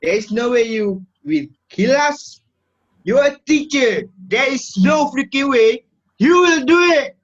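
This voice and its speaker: A man's voice: very high-pitched, expressive, slow, exaggerated voice. A man acting as a defiant, frightened student who challenges a teacher, on a muffled, lo-fi microphone. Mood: defiant disbelief.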